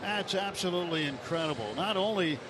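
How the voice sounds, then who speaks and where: A man's voice: high-pitched, animated, fairly quick. A man giving live color commentary as a baseball radio co-commentator. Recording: radio broadcast with crowd noise. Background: stadium crowd.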